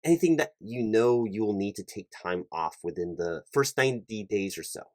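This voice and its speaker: Corporate recruiter, played by a man, lowish voice, over-careful, repetitive and a bit flustered, very dry recording, ASMR interview roleplay. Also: animated, normal speed, no echo, natural voice. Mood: careful, formal. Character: polite, fussy, slightly flustered male corporate hiring manager. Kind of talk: one-sided ASMR job interview roleplay.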